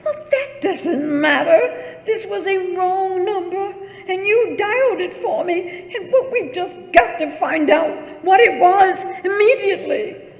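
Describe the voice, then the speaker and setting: Very high-pitched, fretful invalid wife. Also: female voice, expressive, unhurried, creaky, dull audio, heavily acted. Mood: indignant, scolding. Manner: fretful, flustered, indignant, melodramatic. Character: frail, nervous, imperious invalid wife alone at home. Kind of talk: old-time radio thriller acting. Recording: echoey hall with long reverb.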